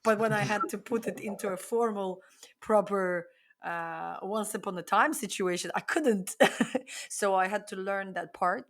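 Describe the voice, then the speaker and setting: A woman co-hosting a casual podcast conversation, thinking aloud in a reflective way with frequent pauses. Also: medium pitch, fairly bright tone. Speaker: podcast co-host.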